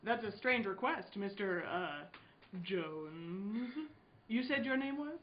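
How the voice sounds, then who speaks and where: A woman playing a polite but insistent wealthy gentleman in a comic radio play. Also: mid-range voice, lively intonation, slow, a little hiss or hum. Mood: puzzled. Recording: roomy, dull sound, crowd behind.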